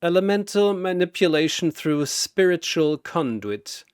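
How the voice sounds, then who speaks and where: A man's voice: a little high, expressive, a little slow, clean tone, no room sound, clean studio sound, voice pushed up. A man voicing a male mad scientist, detached and formal, with menacing curiosity and mock politeness. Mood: clinical fascination.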